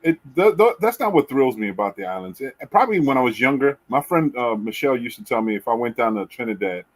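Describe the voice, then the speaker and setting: A middle-aged man hosting a podcast, chatting casually and telling lively stories with casual swearing. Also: medium pitch, quick pace, poor audio. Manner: animated storyteller, swears casually. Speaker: podcast host. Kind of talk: casual chat.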